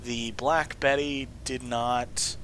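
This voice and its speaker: A man with a cold talking casually through his builds in a game, his voice hoarse and sometimes fading to a whisper, rambling and correcting himself as he goes. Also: mid-range voice, steady tone, slow, a bit bright, a little hiss or hum. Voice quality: hoarse, dropping to a whisper at times. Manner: rambling, self-correcting, jokey. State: voice mostly gone from illness, sniffling. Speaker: video host showing game builds. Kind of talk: casual gameplay walkthrough.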